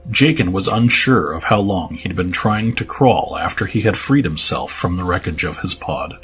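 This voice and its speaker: A man reading scripted story narration in a serious, measured, dramatic manner. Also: sits low, lively intonation, fairly bright tone. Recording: music under the voice.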